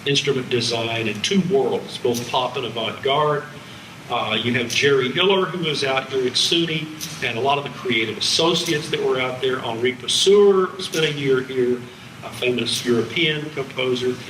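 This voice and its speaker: Older american guy lecturing w slides, wry asides, sharp bright voice, echoey room a bit far from mic. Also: medium pitch. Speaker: music college lecturer. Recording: echoey hall, distant, faint background noise.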